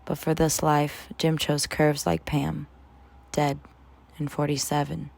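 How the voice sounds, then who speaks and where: A woman in her twenties giving a spoken word poetry reading as a poet, with a measured, dramatic delivery. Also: low-pitched, completely flat delivery, creaky, a little mellow. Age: 20s.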